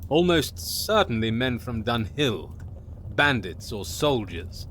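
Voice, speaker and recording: A man acts a dour baron in a fantasy audio drama. He reports formally and plainly, his pitch rising and falling widely, with faint noise behind. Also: very animated, slow pace, a bit bright. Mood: grim, measured.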